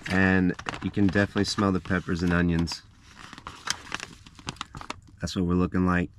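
A man with a deep, low voice chats easily to the camera as he cooks in a parked van. He talks step by step in a relaxed vlog style. A faint hiss of background noise sits under the voice. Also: moderate pace. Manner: relaxed, chatty, walks through each step. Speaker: travel vlogger. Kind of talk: casual vlog narration to camera. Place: inside a parked van, small enclosed space. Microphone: camera mounted in the vehicle.

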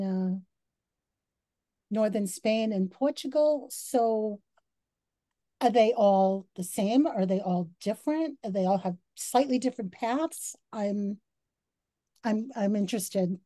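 A woman's voice: mid-range voice, slow pace, warm tone, no room sound. A woman hosting an interview, asking curious, chatty questions with a few hesitations and restarts. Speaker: host.

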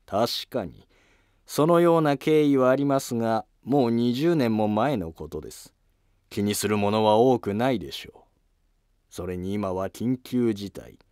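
A man playing a shrewd, courteous elderly court minister in a historical drama, speaking in a formal, measured, deferential way. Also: mid-range voice, a little mellow, clear, resonant tone, no echo, clean studio sound, lightly acted.

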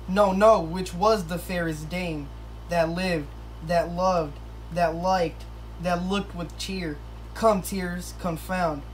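A pompous romantic hero of a puppet play, voiced by a woman. The pitch is on the low side and the verse comes slowly, with hammy grandeur. Clear noise sits in the home recording. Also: poor audio. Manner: hammy, declamatory. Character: pompous melodramatic young male lover, a sock puppet. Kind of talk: amateur puppet-show Shakespeare verse.

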